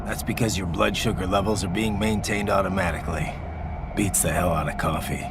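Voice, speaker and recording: Deep, gruff game cyborg mercenary. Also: male voice, fairly even intonation, brisk, noisy background. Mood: wry concern. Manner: wry, grim, terse. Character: brooding, gruff adult male cyborg ninja mercenary. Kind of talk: dramatic video game cutscene acting. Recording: loud music behind the voice.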